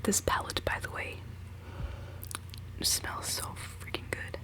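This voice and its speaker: Young woman, soft whisper, rambling giggly makeup chat, low-ish voice. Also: slow, rough recording. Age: 20s. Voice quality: decently low voice. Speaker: ASMR creator. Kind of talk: whispered ASMR chat while doing makeup.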